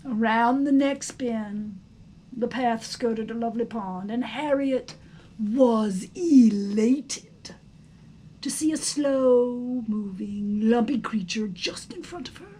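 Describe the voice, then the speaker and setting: A woman reading a storybook aloud in an expressive, theatrical, emphatic narration. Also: fairly high pitch, rough recording. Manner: theatrical, emphatic, deliberate phrasing. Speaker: storybook reader. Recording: faint background noise.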